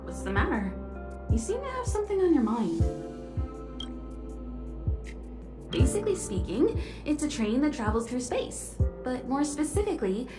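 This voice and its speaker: A knowing, elegant woman navigator from a sci-fi game. Her fairly high voice is composed and reassuring, in an echoing space with music underneath. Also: very animated, brisk. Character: poised, knowing female space-train navigator, gently teasing adult. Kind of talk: video game character dialogue.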